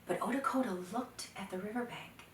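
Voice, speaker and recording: A woman telling a folktale to children, dramatic, expressive and deliberate, sometimes dropping to hushed phrases. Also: medium pitch, a little hiss or hum. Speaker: storyteller. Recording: roomy echo, distant microphone.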